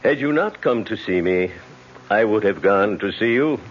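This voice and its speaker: A man's voice: on the low side, expressive, moderate pace, slight background noise, close to an everyday voice. A man playing a suave, fatherly French casino manager who is wary and apologetic, in old-time radio drama acting. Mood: grave, cautioning. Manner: smooth-mannered, hesitant, fatherly. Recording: muffled old radio recording.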